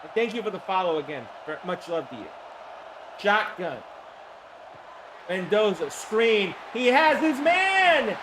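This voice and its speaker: Hoarse high-voiced stream commentator. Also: male voice, measured pace, pauses often, background noise. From Florida. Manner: chatty, greets viewers by name, excited bursts on big plays. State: hoarse from the flu. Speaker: streamer calling a simulated football game. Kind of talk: live-stream play-by-play commentary.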